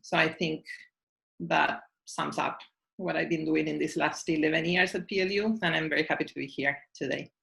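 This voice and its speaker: A woman professor speaks over a video call in a measured, unhurried way, pausing on fillers. Her voice has a gravelly edge yet a bright tone. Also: deep voice, fairly even intonation. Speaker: guest professor. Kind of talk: casual interview talk.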